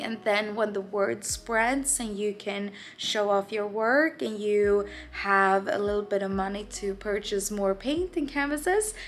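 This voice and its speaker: A young Swedish woman, an artist, talking to the camera in her home studio with a Swedish accent, hesitant and searching for her words in English, her second language. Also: mid-range voice. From Sweden. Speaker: artist vlogger. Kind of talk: casual talking-to-camera vlog. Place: home art studio, indoors.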